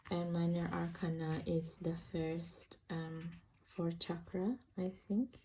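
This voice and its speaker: A woman's voice: lowish voice, fairly even intonation, takes it slowly, mellow, rough recording. A woman with an Indonesian accent giving a casual, hesitant tarot deck review, searching for words as she talks. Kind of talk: casual unboxing and flip-through commentary.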